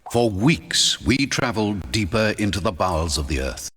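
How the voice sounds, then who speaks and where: A man narrating a first-person adventure audiobook in a theatrical, expressive storytelling manner. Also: fairly low pitch, moderate pace, slightly rough. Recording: long hall reverb.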